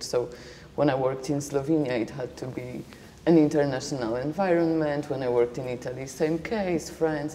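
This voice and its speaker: Low, dark-voiced woman in a hall. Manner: measured, reflective, with frequent fillers. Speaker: panel guest. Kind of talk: panel discussion. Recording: long hall reverb, faint noise.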